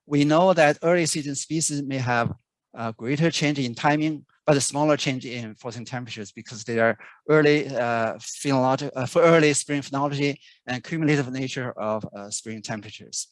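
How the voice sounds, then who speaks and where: Halting man giving a research talk. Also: medium pitch, no room sound. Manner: halting, many fillers and restarts. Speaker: researcher presenting. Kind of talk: conference talk. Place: remote video call.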